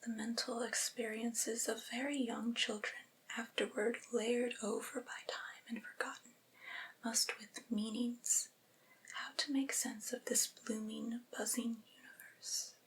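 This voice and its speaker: Woman whispering softly, breathy ASMR reading. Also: on the high side, flat delivery, measured pace, low-quality recording. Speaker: ASMR creator reading aloud.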